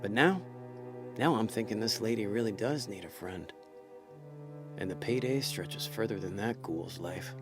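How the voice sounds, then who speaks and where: A man's voice: fairly low pitch, a little slow. Wry, cocky noir con man, music behind. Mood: wry, musing. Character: laid-back small-time con man, cocky, smooth-talking tough. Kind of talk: noir audio drama acting, with wry narration.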